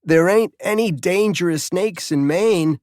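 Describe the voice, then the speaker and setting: Audiobook role: adult male as stoned teen boy, guarded, careful enunciation, pitched well up. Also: a little mellow, no room sound, studio quality. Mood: guarded, slow. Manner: slow, deliberate enunciation. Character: stoned, scruffy teenage boy, slow and guarded.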